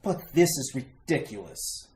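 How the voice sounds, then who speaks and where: A man's voice: medium pitch, sing-song, measured pace, a little mellow. A man playing a worried, reasonable husband with an earnest, hesitant manner in a retro radio horror play. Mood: protesting. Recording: roomy, echoing sound.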